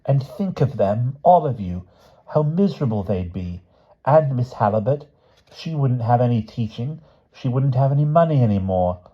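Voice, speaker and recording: Man putting on an earnest, high-pitched pleading schoolgirl. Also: lively intonation, moderate pace, slightly rough, highs cut off. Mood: earnest persuading. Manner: pleading, urgent. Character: earnest, persuasive schoolgirl pleading with her friends.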